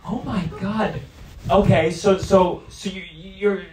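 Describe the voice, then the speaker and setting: A man in his thirties, a stand-up comedian doing crowd work on a club stage with a handheld mic, talking in an animated, incredulous way. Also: high voice, slow pace, recorded from a distance. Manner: animated, incredulous, laughing often. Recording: roomy club sound with crowd laughter.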